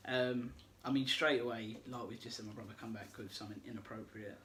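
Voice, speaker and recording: A man's voice: fairly low pitch, fairly even intonation, a little fast, crowd noise. A young man, co-hosting a casual podcast, chatting and teasing as he reads out listener questions. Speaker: podcast co-host. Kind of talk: casual chat.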